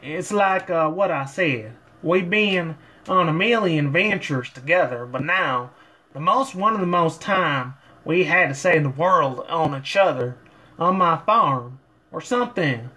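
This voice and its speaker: A man's voice: fairly high pitch, animated, measured pace, sharp tone, full character voice, rough recording. A man voicing an easygoing, folksy cartoon farm pony girl with an American Southern accent. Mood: reassuring, casual.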